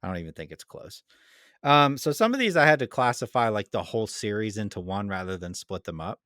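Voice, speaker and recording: A man's voice: fairly low pitch, very animated, brisk, a bit bright, dry recording, studio quality. A man hosting a podcast, chatting casually and informally as he debates. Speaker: podcast host.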